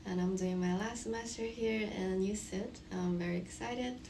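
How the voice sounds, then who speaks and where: Medium-pitched young woman from China chatting. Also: fairly even intonation, normal speed, warm tone. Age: young adult. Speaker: student guest. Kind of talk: casual chat. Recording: faint background noise, room ambience.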